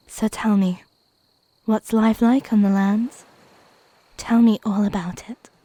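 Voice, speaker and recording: A woman playing a flirty, teasing mermaid in an intimate ASMR roleplay, coy and playful with breathy half-whispers. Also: mid-range voice, mellow.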